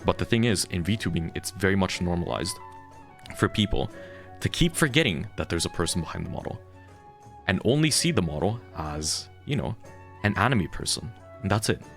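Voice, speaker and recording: A young adult man with a deep voice, a streamer ranting frankly and heatedly about his community and swearing freely. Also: sing-song, normal speed, fairly bright tone, faint music behind. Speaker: VTuber streamer. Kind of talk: candid ranting monologue.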